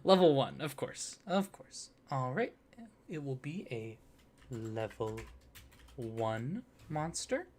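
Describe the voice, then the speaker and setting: A man speaking softly for an ASMR roleplay, as a courteous office clerk. His voice sits at a middle pitch but moves up and down a lot, giving it a lilting, animated feel. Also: takes it slowly. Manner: soft-spoken, polite customer-service patter.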